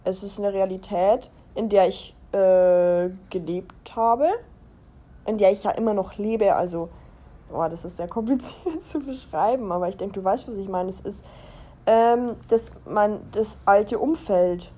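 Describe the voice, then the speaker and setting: A woman's voice: mid-range voice, a little slow, dark tone. A young adult woman from Germany talking casually as a podcast host, chatty and giggly, rambling and interrupting herself as she goes. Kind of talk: casual personal podcast monologue.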